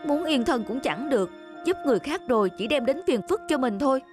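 Lady doing nervous down on his luck young guy, high plaintive voice, cartoon dub, music under it. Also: lively intonation, a little fast. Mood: bitter, grumbling. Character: anxious down-on-his-luck young man with a guilty conscience. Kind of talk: animated moral story dubbing. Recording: music behind the dialogue.

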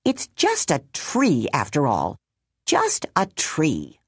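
A stern, worn-out older woman complains bluntly in a low, husky voice, as a woman narrator puts her on. The tone is weary and gruff, and the delivery is slow and dry. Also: wildly swooping pitch, dry recording, exaggerated voice.

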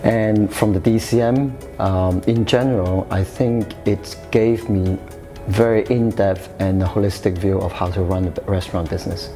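Hesitant man with a mellow voice. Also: low voice, steady tone, moderate pace, soft background music. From Hong Kong, raised in the UK. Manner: hesitant, many fillers and restarts. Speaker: interview guest, chef. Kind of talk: casual interview answers. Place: café-style room with sofa, indoors.

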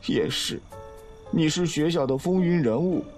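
A man's voice: a little high, a little slow, darkish tone, soft background music, lightly acted. A man playing a sly, teasing male college student who coaxes like a suitor in an audio drama. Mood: wounded. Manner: coaxing, teasing. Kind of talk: Chinese audio drama acting.

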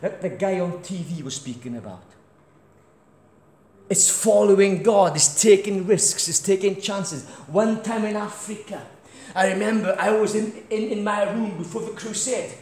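A middle-aged man with a British accent preaching a sermon loudly with stories as he moves around a large church hall, recorded from a distance by a camera. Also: high voice, a little slow. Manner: loud crusade-style preaching, walks about. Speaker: guest preacher. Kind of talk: sermon with anecdotes. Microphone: far camera, no mic. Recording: echoey church hall, faint noise.

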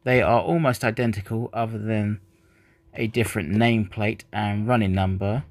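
A man's voice: fairly low pitch, steady tone, normal speed, dry recording. A man who is not a native English speaker, chatting casually while unboxing a model train, rambling and correcting himself.

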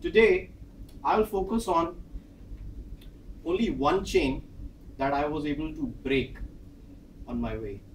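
A man in his thirties, a Hindi speaker with an Indian English accent, delivers a prepared speech in a large carpeted office training room. His voice sits a little high and he moves about animatedly, acting out each exchange. He is recorded from a distant camera, so the room echoes around him. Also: slow, pauses often, a little hiss or hum. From northern India. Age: 30s. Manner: animated, acts out dialogue with gestures, pacing while speaking. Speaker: speaker at a club meeting. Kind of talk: prepared personal speech with storytelling. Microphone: far camera.

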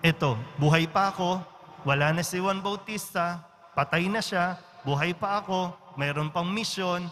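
A man with a Filipino accent, a priest giving a homily in a relaxed, conversational way, often checking in with his listeners. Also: sits high, moderate pace. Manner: conversational, with frequent tag questions. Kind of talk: Sunday-style homily. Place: large church. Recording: church reverb with faint background noise.

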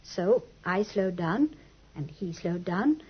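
A woman's voice: on the low side, expressive, slow, slightly rough, exaggerated voice. An actress playing a genteel, earnest young British lady client, speaking expressively in the manner of 1950s radio drama. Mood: earnest, uneasy. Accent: British English. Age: young adult. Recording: muffled, lo-fi 1950s radio recording.

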